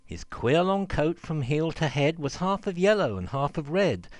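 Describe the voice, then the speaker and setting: A man reading a children's verse story aloud in an expressive, theatrical and rhythmic way. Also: a little high, moderate pace, no echo. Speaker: reader, storyteller.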